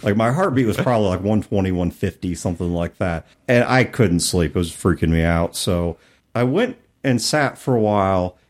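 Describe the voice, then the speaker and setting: A middle-aged man with a fairly low voice and very lively intonation. He talks like a podcast host, casual and conversational as he explains things. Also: moderate pace.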